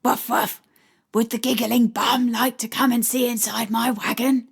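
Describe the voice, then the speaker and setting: A woman's voice: a little high, little pitch movement, a little slow, creaky, husky, exaggerated voice. A woman voicing a playful talking toy dog with a silly, teasing, barking manner in a storybook. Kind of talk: audiobook character voice.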